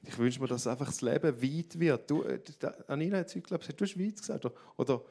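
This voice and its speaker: A man's voice: medium pitch, expressive, fast talker, creaky, mellow. A man with a broad Graubünden Swiss German accent, giving a heartfelt, candid talk to a church audience. He speaks in loose restarts and moves between humor and being moved. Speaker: church leader speaking on stage.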